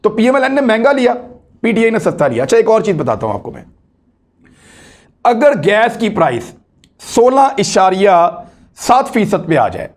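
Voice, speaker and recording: A man's voice: high-pitched, sing-song, moderate pace, long pauses. A man with a Pakistani accent giving explanatory news commentary in an emphatic way, repeating key phrases and asking rhetorical questions. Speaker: political commentator hosting own online video.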